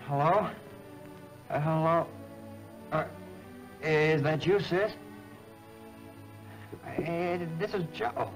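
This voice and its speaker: An actor playing a nervous, homesick young American soldier in a 1940s film, halting and gentle, with an American accent. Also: male voice, a little high, poor audio. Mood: tentative, emotional. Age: young adult. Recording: old film soundtrack with music.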